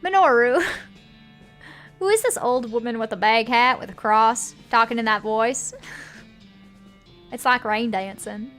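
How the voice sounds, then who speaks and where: A woman's voice: fairly high pitch, measured pace, long pauses, fairly bright tone, faint music behind. A woman with a put-on Southern US accent, casually commenting on a game and reading its text aloud. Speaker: game streamer.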